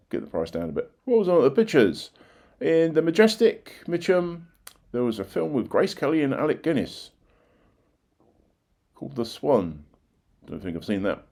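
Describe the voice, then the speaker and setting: A man's voice: mid-range voice, darkish tone. An older man, presenting local history in informal narration with plain-spoken conversational asides. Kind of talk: informal narration of old newspaper clippings.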